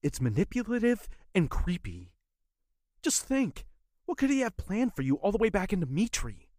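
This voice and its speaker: A woman playing an earnest, wounded young man pleading with a former love in an audio drama, husky and intense. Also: medium pitch, sing-song, normal speed, mellow, dry recording, clean studio sound. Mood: urgent, warning.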